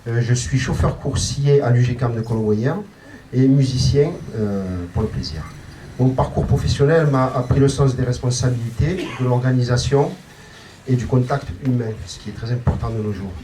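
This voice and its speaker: A man's voice: medium pitch, moderate pace. A man in his fifties presenting himself as a candidate, with formal, prepared phrasing. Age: 50s. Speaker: municipal list candidate. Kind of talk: prepared self-introduction. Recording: distant mic with faint noise.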